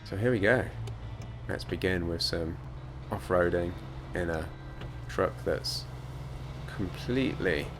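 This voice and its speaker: A British man with a voice croaky from hay fever, giving casual, wryly self-deprecating commentary as he plays a video game. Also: sits low, slow pace. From United Kingdom. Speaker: gaming let's player. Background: video game music and truck engine.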